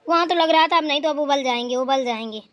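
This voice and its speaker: A woman's voice: high-pitched, quick pace, close to an everyday voice. A woman voicing an elderly mother-in-law who rambles through stories and scolds playfully. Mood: relaxed. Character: elderly mother-in-law, chatty, teasing, fond.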